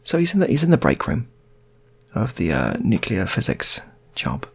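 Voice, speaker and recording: A man's voice: lowish voice, huge pitch swings, moderate pace, creaky, husky. A British man improvising a casual comic story in a rambling, repetitive way.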